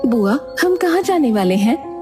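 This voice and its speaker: A woman voicing a gentle, trusting young serpent princess who speaks politely and earnestly in a storybook tale. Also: high voice, big pitch swings, measured pace, over music, voice pushed up.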